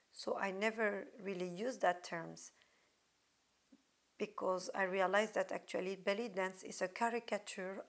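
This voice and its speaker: A woman from Belgium, a dancer answering interview questions thoughtfully, with frequent pauses and restarts. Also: medium pitch, fairly bright tone.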